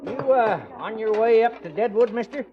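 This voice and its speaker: Male actor as hospitable old frontier coot, high pitch, rustic American Western drawl, radio western, muffled vintage recording. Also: moderate pace, natural voice. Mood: chatty. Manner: rustic drawl, chuckling, colloquial. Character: folksy old Wild West stage station keeper, hospitable. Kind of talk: 1950s radio western acting.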